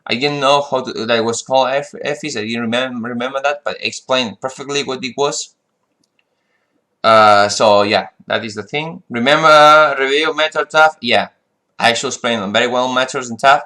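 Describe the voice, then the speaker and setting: A man's voice: mid-range voice, normal speed, bright, forceful tone. Frustrated man. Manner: frustrated, rambling with restarts and fillers. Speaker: flight sim vlogger. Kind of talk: casual monologue.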